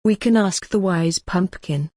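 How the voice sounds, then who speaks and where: A woman's voice: fairly low pitch, unhurried, mellow, no room sound, studio quality. A woman voicing Pepper, a brave young witch, in a storybook reading.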